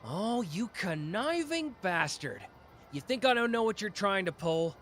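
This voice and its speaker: Give me a man playing a fiery, protective young tough with a gruff, lively voice. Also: high voice, slight background noise, exaggerated voice. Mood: scornful. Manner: gruff, swearing, quick to flare up. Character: hot-tempered brash young man, fiercely protective. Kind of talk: ASMR roleplay audio drama.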